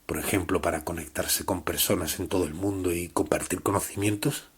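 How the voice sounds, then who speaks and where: A man's voice: sits low, steady tone, brisk, breathy edge. A man hosting a scripted interview, putting questions and addressing listeners as presenter. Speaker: interviewer, host.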